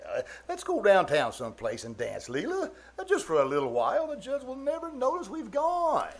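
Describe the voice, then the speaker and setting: A man acts out a hearty, scheming middle-aged charmer from a vintage radio comedy. His fairly high voice swings widely as he coaxes and chuckles, wheedling like a born blusterer. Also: wildly swooping pitch, heavily acted. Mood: sheepish, grumbling. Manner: hearty chuckling, drawn-out coaxing. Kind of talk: old-time radio comedy acting. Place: live performance on a theater stage.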